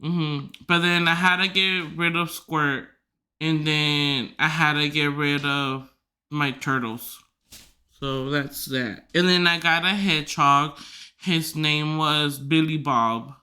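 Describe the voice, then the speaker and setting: A man hosting a podcast who tells rambling stories loudly, swearing freely and filling his talk with "like". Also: fairly high pitch, fairly even intonation, slow. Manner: loud, swears freely, filler-heavy rambling. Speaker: podcast host. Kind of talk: casual storytelling chat.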